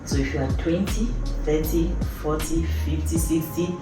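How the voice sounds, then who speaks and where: Very low-voiced woman in her fifties, echoey. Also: moderate pace. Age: early 50s. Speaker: YouTube host. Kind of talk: personal vlog talk to camera. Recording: echoey room, distant mic, loud music.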